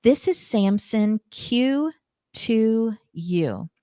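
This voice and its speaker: A woman with a low, thin alto voice, a guest on a video call speaking in a deliberate, upbeat and earnest way. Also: lively intonation, warm tone, clean tone, no room sound, studio quality. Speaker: guest charity representative. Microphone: video call, changing microphones.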